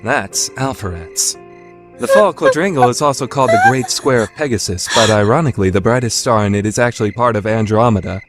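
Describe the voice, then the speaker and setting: A man's voice: mid-range voice, wildly swooping pitch, brisk, music in the background. A man playing an earnest teenage student council president who explains things with boyish enthusiasm, in an anime-style radio play. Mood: earnest, eager.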